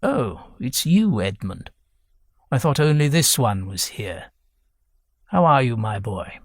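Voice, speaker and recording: A man voicing a weary, querulous, ailing old gentleman in an audiobook. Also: mid-range voice, sing-song, slow, no echo, clean studio sound, heavily acted. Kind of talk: audiobook character voice.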